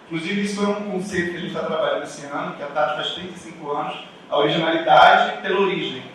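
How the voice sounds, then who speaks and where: A man's voice: fairly high pitch, quick pace, a bit bright. A man from Rio de Janeiro with a Carioca accent gives an informal, reflective conference talk, telling his story with conversational asides to the audience. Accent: Carioca Brazilian Portuguese. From Rio de Janeiro, Brazil. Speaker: conference speaker, designer. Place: large echoey indoor event room. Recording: very echoey hall, distant mic, faint noise.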